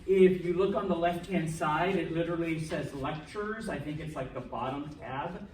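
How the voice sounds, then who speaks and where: A man's voice: on the high side, moderate pace, darkish tone. Man teaching in an echoey classroom. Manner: casual and joking, keeps checking in with the class. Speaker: language instructor. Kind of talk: classroom lecture. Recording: echoey classroom from a distance, faint noise.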